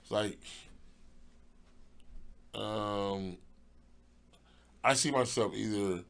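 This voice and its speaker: A middle-aged man, a comedian guest, chatting casually and haltingly, trailing off and joking. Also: on the low side, vocal fry. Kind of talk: casual podcast chat.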